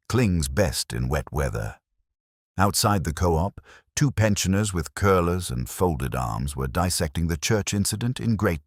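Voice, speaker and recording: A man narrating a mystery story from a script in a measured, literary way. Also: very deep voice, slightly rough, no room sound, clean studio sound. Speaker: audiobook narrator.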